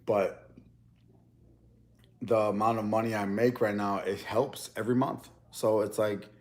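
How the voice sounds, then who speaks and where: A man's voice: on the low side, steady tone, a little slow, darkish tone. A young man in a casual, rambling chat as he eats on camera, often restarting his sentences. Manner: rambling, loose, with many restarts. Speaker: mukbang YouTuber.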